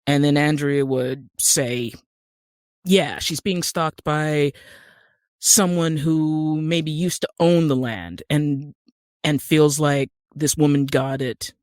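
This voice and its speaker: A woman's voice: low voice, fairly even intonation, slow, a little mellow, no room sound. Podcast guest: American woman, conversational, explains step by step, very dry close recording. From Utah, United States. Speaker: podcast guest, screenwriter and actor. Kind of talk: conversational interview.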